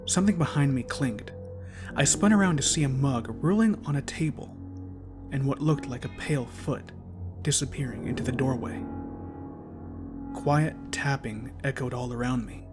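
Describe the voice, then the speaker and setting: A man's voice: mid-range voice, moderate pace. A man reading a first-person horror story in a suspenseful, theatrical storytelling manner. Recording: music behind the voice. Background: clear background soundtrack music.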